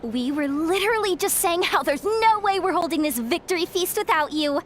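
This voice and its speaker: A woman's voice: extremely high pitch, animated, moderate pace, slight background noise. A woman voicing a bubbly, chatty young girl greeting friends in an anime-style video game, gushing and exclamatory. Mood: delighted.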